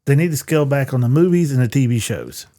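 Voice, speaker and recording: A man's voice: mid-range voice, lively intonation, fast talker, dark tone. A man co-hosting a podcast, chatting casually in a blunt, colloquial way. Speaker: podcast co-host. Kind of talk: casual pop-culture chat.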